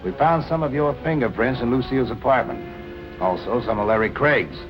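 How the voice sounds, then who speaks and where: An actor playing a firm, probing police captain in colloquial, authoritative 1940s film noir style. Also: male voice, mid-range voice, brisk, muffled recording, lightly acted. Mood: firm, official. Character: steady, probing police captain, grown man. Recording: vintage film soundtrack with music underneath.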